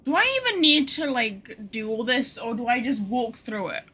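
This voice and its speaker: A woman's voice: on the high side, very animated, normal speed, bright, forceful tone, breathy edge. A woman giving casual, blunt and mildly sarcastic live commentary as she plays a game. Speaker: game streamer.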